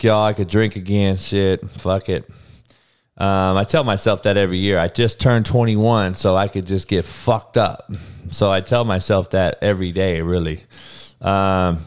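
A man's voice: on the low side, smooth. A man in his forties hosting a podcast livestream, chatting loosely with viewers and swearing a lot. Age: 40s. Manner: profane, loose and rambling, talks to the chat. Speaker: podcast host. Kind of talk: casual livestream chat with viewers.

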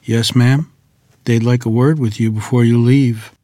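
A man's voice: mid-range voice, steady tone, unhurried, no room sound, studio quality, lightly acted. A man playing a courteous, devout rural piano tuner in a period table read, deferential and folksy. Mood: respectful. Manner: deferential, folksy, gently persuasive.

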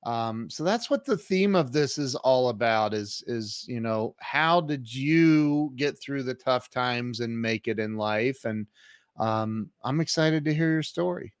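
A man's voice: medium pitch, lively intonation, measured pace, clean tone, no room sound, clean studio sound. A man hosting a podcast interview, talking in an upbeat, enthusiastic way and often restarting his sentences. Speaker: podcast host. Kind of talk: casual interview chat.

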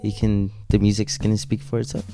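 Deep, mellow-voiced man, slangy co-host. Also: completely flat delivery, fairly quick, gravelly, soft background music. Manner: informal, slangy. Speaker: music show co-host. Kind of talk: casual chat about music.

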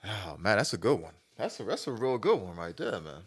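Casting: American male podcast co-host, low-pitched, laid-back and blunt, slangy chat, faint music behind. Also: sing-song, brisk, breathy edge. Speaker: podcast co-host giving opinions. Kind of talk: casual slangy banter.

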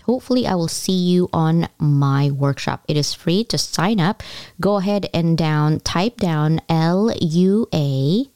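A woman hosts her own podcast with upbeat, encouraging energy. She sometimes spells things out slowly and carefully. Her voice sits very low for a woman. The recording is dry and close, with no room sound. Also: dark tone, dry recording. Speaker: podcast host. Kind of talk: podcast intro and promo.

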